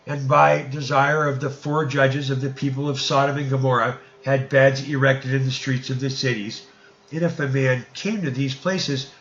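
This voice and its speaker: A man's voice: medium pitch, a bit bright, reverberant, dull audio. A man narrating an old scripture story in a solemn, archaic storytelling manner, as an amateur audiobook reading.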